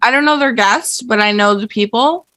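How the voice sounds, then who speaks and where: A woman's voice: a little high, moderate pace, fairly bright tone, dry recording. A woman guest in a casual podcast chat, rambling and stumbling over her words as she jokes.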